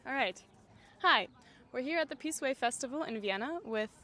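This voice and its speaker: Woman interviewer, higher voice, clear measured delivery. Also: expressive, sharp tone. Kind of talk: scripted intro and interview questions. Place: outdoor festival square.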